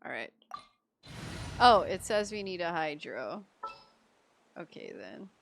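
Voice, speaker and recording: A woman's voice: fairly low pitch, big pitch swings, takes it slowly, creaky, a bit bright, slight background noise. A woman from the Philippines doing casual live gameplay commentary as a streamer, playful and slangy. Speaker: game streamer.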